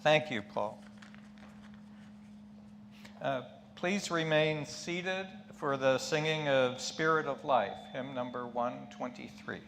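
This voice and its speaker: A man's voice: medium pitch, slow, gravelly, pauses often, fairly bright tone, slight background noise. A man leading a worship service, giving announcements and reading the offering words to a congregation.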